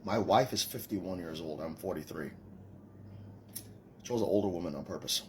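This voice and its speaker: A man's voice: deep voice, normal speed, slight background noise. A man in his forties with a Trinidadian accent, boasting and mocking his critics in a casual rant. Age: 40s. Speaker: commenter in a stitched video.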